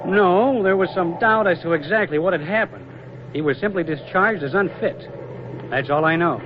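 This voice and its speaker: A brisk Navy lieutenant acted by a man, rather high in voice, earnest and deferential to his superiors. The 1940s radio drama recording is dull and quite noisy. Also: lightly acted. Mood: earnest, explanatory. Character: brisk, dutiful young male Navy lieutenant, admiral's aide.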